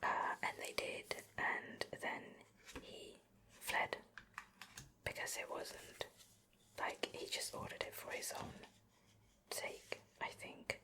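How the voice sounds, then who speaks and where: A native Swedish-speaking woman whispering a soft, breathy ASMR show and tell in a casual, rambling, hesitant way. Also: slow pace, pauses often. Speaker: ASMR video host.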